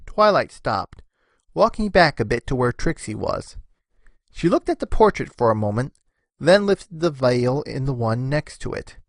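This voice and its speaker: A man's voice: medium pitch, very animated, a little slow, no room sound, studio quality. A man narrating a scripted story expressively, as the narrator of a fan fiction reading.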